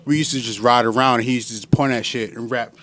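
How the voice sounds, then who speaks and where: A man's voice: mid-range voice, fairly even intonation, fast, a bit bright. An American man in a casual podcast chat, speaking in heavy, profane slang with emphatic repetition.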